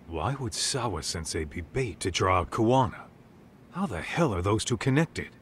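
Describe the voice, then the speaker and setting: Deep, blunt streetwise sidekick. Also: male voice, big pitch swings, normal speed, a bit bright, slight background noise. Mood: blunt, puzzled. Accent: American. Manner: blunt, informal. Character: gruff, blunt, streetwise male detective sidekick. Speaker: video game voice actor. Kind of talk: English dub game cutscene dialogue.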